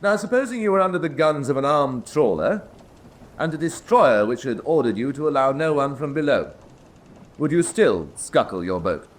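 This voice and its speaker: Lively, argumentative defending major. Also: male voice, fairly high pitch, moderate pace. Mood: probing, needling. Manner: polite, pointed, argumentative. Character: wry, persistent army major defending at court-martial. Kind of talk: radio drama courtroom acting.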